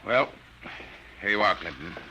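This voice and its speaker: Casting: solicitous, grave officer for old-time radio; low, forceful, sharp tone, slight background noise. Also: male voice, lively intonation, normal speed, lo-fi sound, full character voice. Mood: businesslike. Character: steady, concerned male army major. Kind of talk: 1950s radio drama acting. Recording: faintly noisy vintage radio recording.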